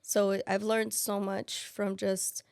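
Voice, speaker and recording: A woman's voice: medium pitch, level tone, slow pace, creaky, darkish tone, clear, resonant tone. A woman in her thirties whose first language is Spanish, talking as a financial aid specialist in a casual interview, thoughtful and full of restarts. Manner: thoughtful, with many fillers and restarts.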